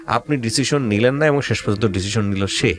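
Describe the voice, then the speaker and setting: A man's voice: lowish voice, fairly quick. A male radio host with a Bangladeshi accent, advising a guest in an insistent, questioning way and dropping in English phrases. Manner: mixes English phrases into speech. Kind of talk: advising a guest on a life-story show.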